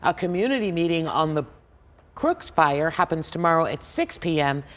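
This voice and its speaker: A woman reads scripted news from the anchor desk. Her voice runs a little lower than average for a woman. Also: big pitch swings, normal speed.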